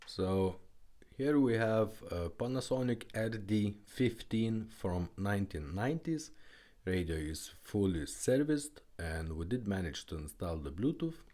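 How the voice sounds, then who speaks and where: A man's voice: lowish voice, expressive, measured pace, mellow. A man who speaks as a gives a casual, informal product demonstration with hesitant phrasing. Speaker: car radio restorer presenting a product.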